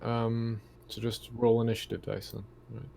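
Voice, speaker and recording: A man's voice: mid-range voice, level tone, moderate pace, creaky, crowd noise. A man playing an online tabletop game, chatting casually and hesitantly, thinking aloud. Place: online voice call. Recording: noticeable background noise on voice call.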